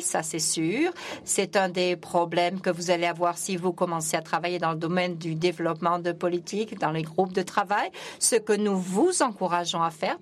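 A woman interpreting a conference speech live, keeping a steady flow with many fillers and restarts. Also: mid-range voice, a little hiss or hum.

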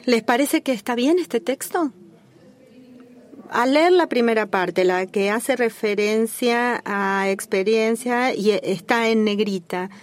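Woman interpreting a formal meeting. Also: a little high. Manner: measured and formal, with small restarts. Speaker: simultaneous interpreter. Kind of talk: interpreting a formal working-group call.

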